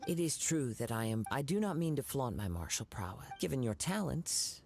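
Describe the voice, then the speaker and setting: A woman's voice: very deep voice, sing-song, creaky, a little mellow, a little hiss or hum, heavily acted. A woman voicing a courteous, composed and inquisitive martial artist in a video game, speaking with formal, old-fashioned politeness. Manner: formal, archaic, measured politeness. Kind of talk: video game character dialogue.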